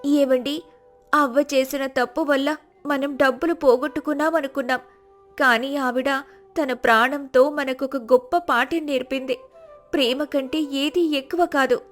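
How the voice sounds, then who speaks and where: A woman's voice: sits high, steady tone, measured pace, soft background music. A woman voicing a compassionate, forgiving village wife in an emotional moral story. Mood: reflective.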